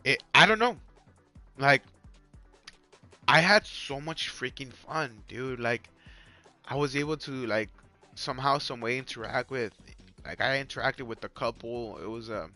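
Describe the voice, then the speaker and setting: A man's voice: medium pitch, a little slow, pauses often, bright, forceful tone. A young man with a Mexican accent, chatting casually to viewers during a live game stream, rambling and repeating himself, full of "like" and "you guys.". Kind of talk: casual live-stream chat while gaming. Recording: faint music underneath.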